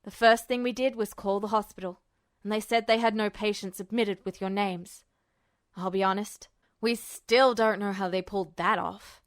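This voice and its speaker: A woman's voice: mid-range voice, fairly even intonation, normal speed, dry recording, studio quality, pitched below the performer's own voice, lightly acted. A woman voicing an earnest, apologetic military man in acted audiobook dialogue. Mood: regretful, earnest.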